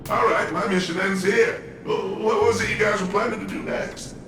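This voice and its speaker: A man's voice: sits high, steady tone, normal speed, lightly acted. A man voicing a breezy, dutiful young pilot escort in a video game, chatty and easygoing. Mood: brisk, easygoing. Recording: very echoey, distant, music behind.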